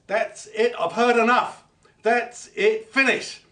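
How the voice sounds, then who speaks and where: Radio drama hardliner acted by a man: blunt, raised pitch, deliberate, distant in a roomy space. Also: fairly even intonation, a bit bright, heavily acted. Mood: angry, fed up. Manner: blunt, emphatic, repetitive. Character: exasperated man who has had enough, laying down the law. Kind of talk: amateur radio play acting. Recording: echoey room, off mic.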